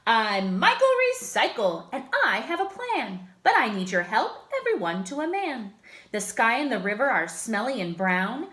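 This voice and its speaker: Storybook boy superhero, voiced by a woman: bold, proclaiming, forceful, high-pitched. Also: very animated, normal speed. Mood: rallying. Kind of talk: rhyming picture book read-aloud.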